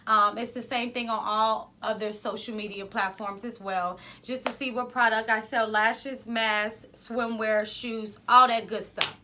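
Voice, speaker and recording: Woman, casual podcast host, loud and rambling, bright tense tone. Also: on the high side, steady tone, a little slow, hardly any pauses, a little hiss or hum. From Ohio, US.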